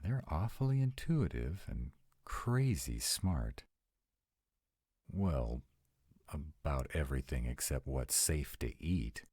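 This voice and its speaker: Male actor as anxious sarcastic vampire, bottomless low pitch, dark mellow tone, expressive, conversational, intimate audio roleplay. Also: slow pace, husky, no room sound, clean studio sound, exaggerated voice. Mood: sardonic, musing. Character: sarcastic young-at-heart male vampire, nearly two hundred, anxious. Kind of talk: intimate one-sided roleplay audio acting.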